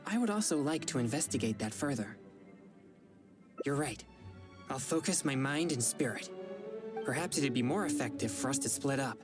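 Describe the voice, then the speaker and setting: An earnest young exorcist boy from an anime game, with a low, boyish pitch. He speaks formally and righteously, in measured phrases, over game music. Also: animated. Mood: resolute.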